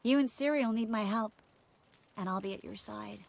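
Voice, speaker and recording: An actress playing a self-assured, tender young sorceress in a video game, speaking earnestly and expressively. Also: female voice, mid-range voice, normal speed, creaky, a little hiss or hum, natural voice. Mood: relieved, devoted. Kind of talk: video game cutscene dialogue.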